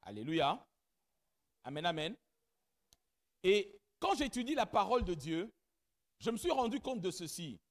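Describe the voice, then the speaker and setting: A middle-aged man preaching a church sermon whose first language is not French, speaking animatedly and rising to loud emphatic peaks as he addresses the congregation. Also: high-pitched, very animated, unhurried, long pauses. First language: not French; has another mother tongue. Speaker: pastor.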